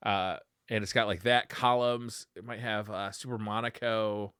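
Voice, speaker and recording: A man's voice: on the low side, steady tone, normal speed, a bit bright, clean tone, no room sound, clean studio sound. A man co-hosting a casual retro gaming podcast, talking in a conversational way. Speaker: podcast co-host.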